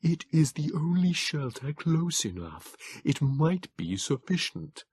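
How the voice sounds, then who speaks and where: A small, frail Morlock pleads in slow, gasping syllables, as a male narrator puts him on for an audiobook. His voice sits high and thin, soft and breathy, worn down by wheezing. The recording is close and dry. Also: sing-song, dry recording, full character voice. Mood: breathless pleading. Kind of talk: audiobook character voice.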